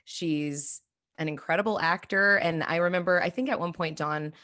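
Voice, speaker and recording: A woman's voice: lowish voice, moderate pace, pushed and bright. A woman actor telling anecdotes in an informal, casual interview manner.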